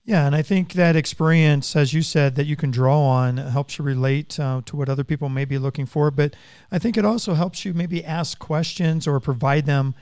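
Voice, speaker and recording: A man hosting a podcast in a conversational interview style, speaking in a halting, wordy way with repeated phrases. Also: on the high side, fairly even intonation, darkish tone, clear, resonant tone, no echo. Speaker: podcast host. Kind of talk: conversational interview, praising guest.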